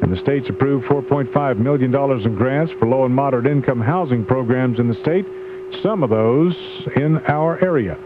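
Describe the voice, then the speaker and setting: A man's voice: mid-range voice, normal speed. Male newsreader on noisy tinny radio. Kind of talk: scripted morning news bulletin. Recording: tinny AM radio with clear hum.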